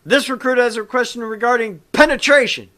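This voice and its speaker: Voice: mid-range voice, brisk, sharp tone, close to an everyday voice. A performer voicing a nervous, cheeky young male army recruit in a video game, speaking in formal soldier phrases. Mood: mock innocent.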